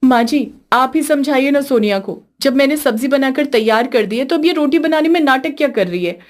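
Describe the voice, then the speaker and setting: A woman's voice: high-pitched, lively intonation, a little fast. A woman voicing a complaining young daughter-in-law in a cartoon story, whiny and argumentative. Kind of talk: Hindi cartoon story acting.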